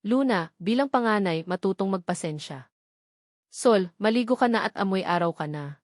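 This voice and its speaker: A woman with a Filipino accent voicing a calm, gently firm young mother in a storybook tale. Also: mid-range voice, moderate pace, dry recording, studio quality, natural voice. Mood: calm scolding. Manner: gentle, instructive. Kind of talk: storybook narration character voice.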